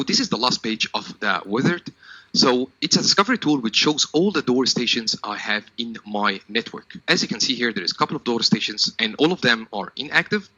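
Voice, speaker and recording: A man's voice: medium pitch, sharp tone, no room sound, rough recording. A man working as a technical trainer, giving a step-by-step product tutorial in a methodical, instructional manner.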